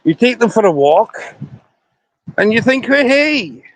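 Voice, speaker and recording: A man's voice: sits high, sing-song, unhurried, no echo, rough recording. A Scottish man chatting to viewers on a casual live stream outdoors by a river, rambling as he talks into his phone. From Scotland. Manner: rambling, chatty, talking to viewers while walking.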